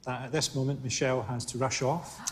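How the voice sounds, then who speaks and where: Deadpan Scottish man hosting. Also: medium pitch, brisk, very noisy. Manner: dry, deadpan jokes. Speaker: host. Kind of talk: comedy panel hosting. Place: TV studio with audience. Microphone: lapel mic.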